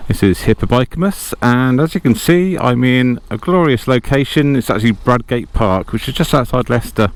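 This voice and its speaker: A man's voice: medium pitch, animated, moderate pace, few pauses, dry recording. A man chatting casually to a vlog as he rides a motorbike, rambling, self-deprecating and easily sidetracked. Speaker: motorcycle vlogger. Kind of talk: casual vlog chat while riding. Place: outdoors, riding a motorbike inside a helmet. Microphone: lavalier inside helmet. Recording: loud wind, engine and road noise. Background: engine, wind and passing traffic.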